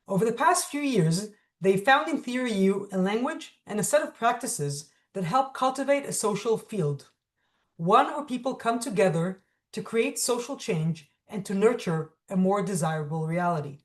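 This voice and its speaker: A woman hosts an interview with a prepared, formal delivery. Her voice sits at a middle pitch, and she articulates each phrase in a measured way. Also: lively intonation. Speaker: host. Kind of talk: scripted introduction, interview hosting.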